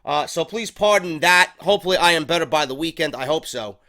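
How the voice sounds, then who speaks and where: A man's voice: on the high side, animated, brisk, bright, forceful tone. Congested man hosting a wrestling review. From New York. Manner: profane, rambling, unscripted. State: congested head cold. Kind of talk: casual spoken show review.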